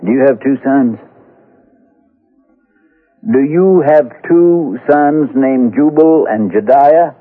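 A man's voice: mid-range voice, slow pace, long pauses. An actor playing a dogged Western lawman in a radio drama, plain-spoken and folksy as he questions people and narrates his case. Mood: probing. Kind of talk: old-time radio drama narration and dialogue. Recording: tinny old radio broadcast, music behind.

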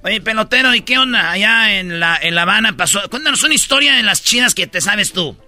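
High, bright-voiced comedy host. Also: male voice, expressive, brisk, no echo, soft background music. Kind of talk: casual comedic banter.

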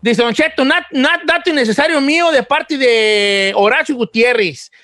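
A man doing a high folksy old rancher host. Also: normal speed, bright, forceful tone, no room sound, studio quality. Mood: folksy joking. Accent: Mexican Spanish. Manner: joking, stammering, folksy. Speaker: radio show host. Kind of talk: comedic radio chat.

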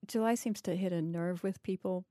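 A woman hosting a literary podcast, speaking in a measured, articulate and analytical way. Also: fairly low pitch, dark tone, no echo, studio quality. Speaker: podcast host, interviewer. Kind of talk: literary interview and podcast narration.

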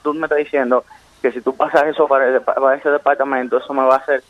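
A man from New York with a Dominican accent, speaking haltingly and pleadingly in an unscripted phone conversation. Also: mid-range voice, flat delivery, dry recording. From Queens, New York, of Dominican parents. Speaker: prank call victim, security worker. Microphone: telephone. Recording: dry, dull phone call.

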